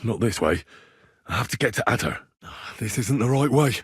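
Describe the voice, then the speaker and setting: Casting: young man as an earthy, hot-headed medieval game hero; husky voice, very dry close sound. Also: mid-range voice, lively intonation, moderate pace, no echo. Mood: earnest, resolute. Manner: plain-spoken, earthy, blunt. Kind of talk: video game character voice lines.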